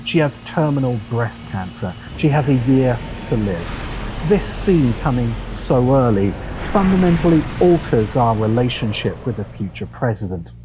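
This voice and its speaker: Man narrating dramatically, pitch rising and falling. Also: expressive, unhurried, background noise. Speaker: video essay host. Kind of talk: scripted narration, video essay commentary. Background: background music.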